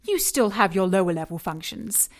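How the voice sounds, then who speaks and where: A woman's voice: medium pitch, expressive, normal speed, close to an everyday voice. Woman playing a cool, commanding villainess. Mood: cool, condescending. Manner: composed, precise, condescending. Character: cool, commanding female villain boss, dryly mocking. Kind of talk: audio drama acting.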